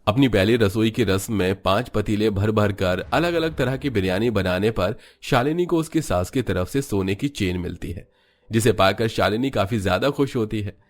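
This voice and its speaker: A man's voice: fairly low pitch, normal speed. A man narrating a Hindi cartoon story in a scripted storytelling style.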